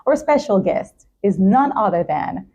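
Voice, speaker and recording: A woman's voice: mid-range voice, animated, a little slow, mellow, breathy edge. A woman talk show host with an effusive, polite presenting manner, speaking in announcements and interview chat.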